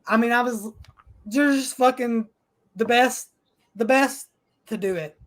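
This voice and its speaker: A man's voice: very high-pitched, measured pace, a bit bright. A man hosting a casual podcast and reading out live chat, emphatic and profane, with frequent restarts.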